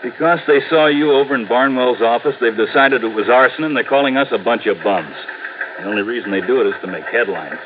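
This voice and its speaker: A man's voice: mid-range voice, a little fast, natural voice. An actor playing a brash, cocksure arson squad policeman, blunt and slangy, in an old radio drama. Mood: exasperated. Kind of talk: 1950s radio drama acting. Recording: noisy, narrow old radio recording.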